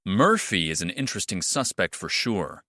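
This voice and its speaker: A man playing a formal, measured FBI special agent giving a guarded interview in a fiction podcast. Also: low-pitched, very animated, loud music behind the voice. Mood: guarded, firm.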